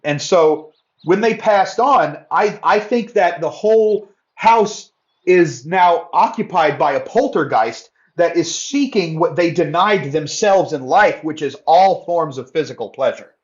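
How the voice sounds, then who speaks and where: A man playing a flustered, earnest caller on a comedy call-in show, halting and exasperated as he repeats himself, with slightly dull sound. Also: a little high, animated. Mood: earnest, weary. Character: flustered, earnest man calling with an absurd complaint. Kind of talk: improvised comedy storytelling.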